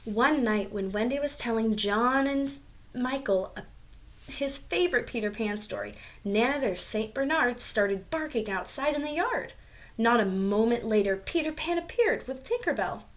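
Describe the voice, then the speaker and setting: Lady doing kids read-aloud, highish voice, expressive, chats about the pictures. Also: moderate pace. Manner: expressive, addressing young children. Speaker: storybook reader.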